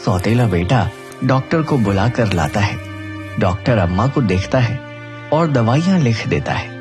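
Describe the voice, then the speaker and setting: Low-voiced male storyteller over music. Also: animated, a little slow. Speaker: storyteller narrator. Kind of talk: scripted moral-story narration.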